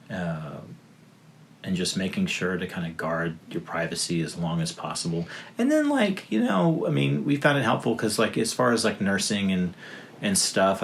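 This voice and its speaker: A man's voice: deep voice, slight background noise. A man in his thirties, a father talking about his family as a podcast guest, rambling with many fillers and restarts. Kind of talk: casual podcast conversation.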